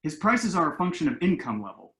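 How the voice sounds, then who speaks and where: A man's voice: fairly high pitch, sing-song, a little fast, a bit bright. A man acting a self-assured, glib son who earnestly explains his schemes in a table-read play, recorded in an echoing room. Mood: smug, persuasive. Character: glib, enterprising grown son pitching shady business schemes. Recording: roomy, echoing home recording.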